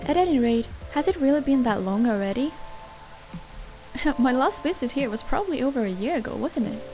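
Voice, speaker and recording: Woman voicing a high-voiced, breezy young idol girl. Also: expressive, brisk, warm tone. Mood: breezy, friendly. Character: friendly young female cat-eared pop idol. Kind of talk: visual novel fan dub. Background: game music and rain.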